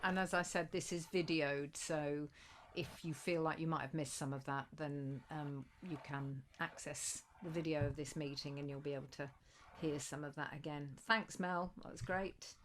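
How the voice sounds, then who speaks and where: A woman hosting an online meeting, briskly moving things along and introducing the next speaker. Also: deep voice, fairly even intonation, slight background noise. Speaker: workshop organiser. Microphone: video call.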